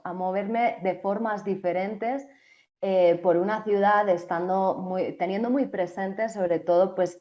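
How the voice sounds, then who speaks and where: A woman's voice: fairly low pitch, dark tone, lo-fi sound. A young woman from Spain with a Spanish accent, a journalist answering interview questions thoughtfully, with frequent restarts and fillers. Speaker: TV journalist and co-host.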